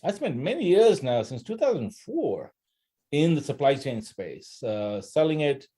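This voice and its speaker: A man with a lisp giving an informal guest talk on an online call in a conversational, halting way with rhetorical questions. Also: on the high side, very animated, dark tone, slightly rough. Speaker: guest speaker, supply-chain salesman. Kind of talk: informal presentation on a live call.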